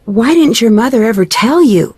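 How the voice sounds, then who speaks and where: A woman playing an inquisitive, earnest young detective in a video game, speaking politely and clearly. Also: a little high, expressive, normal speed, darkish tone, no echo. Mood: inquiring.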